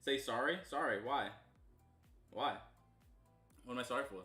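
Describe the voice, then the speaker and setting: A young man from Canada chats casually with his stream audience in a bright, forward voice. He mumbles along and then erupts into loud greetings. The room sounds echoey, with faint music underneath. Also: mid-range voice, normal speed. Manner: loose and chatty, bursts of loud excitement. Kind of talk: casual stream chat with viewers.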